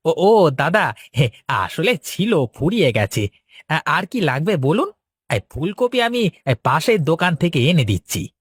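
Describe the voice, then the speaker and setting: A man's voice: a little high, sing-song, unhurried, slightly rough, dry recording, studio quality, heavily acted. A man playing an eager, chatty village vegetable seller in a Bengali cartoon, talking in a colloquial, exclamatory way. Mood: flustered, complaining. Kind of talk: cartoon story dialogue.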